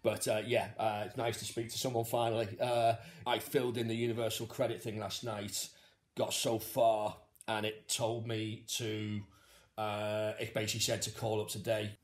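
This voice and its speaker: Low-voiced man, casual and sweary. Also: level tone, moderate pace. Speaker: comedian filming himself on a helpline call. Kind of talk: casual, sweary venting to viewers.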